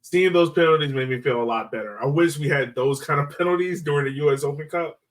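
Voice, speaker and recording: A man's voice: a little high, fast, distant mic. Man chatting sports, slightly off mic. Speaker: soccer podcast host. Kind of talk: casual sports chat.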